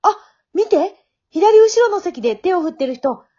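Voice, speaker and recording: Very high-pitched, polite young woman played by an actress. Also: very animated, normal speed, warm tone, muffled recording. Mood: puzzled. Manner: polite, matter-of-fact remarks. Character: polite, frank young woman contestant, calmly puzzled and observant. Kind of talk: amateur short radio drama acting.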